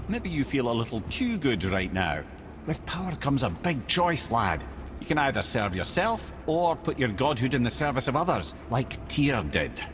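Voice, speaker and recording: Game role: learned old Scottish advisor male actor, wry and eloquent, highly animated melodic storytelling. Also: normal speed, noisy background, natural voice. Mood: sage, gentle. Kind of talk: theatrical storytelling in game dialogue.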